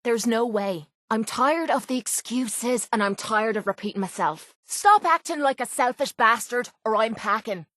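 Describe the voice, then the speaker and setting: An actress voicing a tough, guarded but loyal young Irish pit fighter in a video game, speaking bluntly and colloquially. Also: female voice, on the high side, expressive, normal speed, few pauses, a bit bright, no echo, clean studio sound. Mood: angry, blunt. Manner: blunt, rough-edged, colloquial. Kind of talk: video game companion dialogue.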